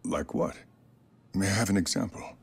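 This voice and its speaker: An actor playing a weary school chairman in a game drama, speaking formally and haltingly with solemn courtesy. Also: deep voice, husky. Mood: grave, courteous. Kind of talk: dramatic game cutscene dub acting. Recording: loud background music under the voice.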